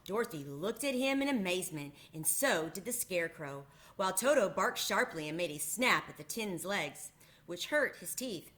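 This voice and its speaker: A woman narrates a children's book aloud in an expressive storytelling manner. Her voice is bright and clear. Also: on the low side, normal speed. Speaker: reader.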